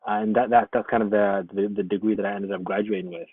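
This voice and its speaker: A man's voice: on the low side, fast, dry recording. Man on a tinny phone-like line. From Somalia. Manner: relaxed, rambling, many fillers and restarts. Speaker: guest. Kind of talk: casual conversational interview.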